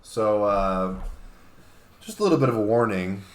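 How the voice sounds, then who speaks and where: A man with a lowish voice co-hosts a casual chat. The sound is noticeably echoey, as if in a reverberant room. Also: lively intonation, unhurried, slight background noise. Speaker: talk show co-host.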